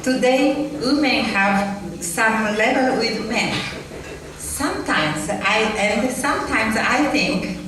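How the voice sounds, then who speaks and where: Woman speaking at a gathering, hesitant and slow, picked up far off in a reverberant room. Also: a little high, expressive, noisy background. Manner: hesitant, halting phrasing with fillers. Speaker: event speaker and moderator. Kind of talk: slow speech in non-native English. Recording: echoey hall, voice from far off.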